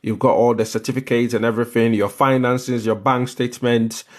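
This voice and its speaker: A man's voice: mid-range voice, level tone, a little fast. Ghanaian man giving a step-by-step tutorial. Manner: instructive, repeats phrases for emphasis. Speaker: livestream host explaining visa applications. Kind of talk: live step-by-step tutorial talk.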